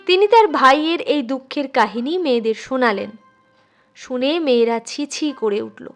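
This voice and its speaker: A woman's voice: high voice, animated, moderate pace, soft background music. A woman narrating a literary short story expressively, as a storyteller addressing listeners. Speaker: storyteller, channel host.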